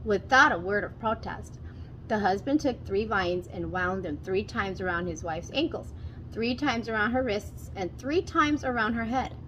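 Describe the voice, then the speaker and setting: A woman reading a folktale aloud as a storyteller, in an expressive children's read-aloud style. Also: medium pitch, normal speed, slight background noise, low-quality recording.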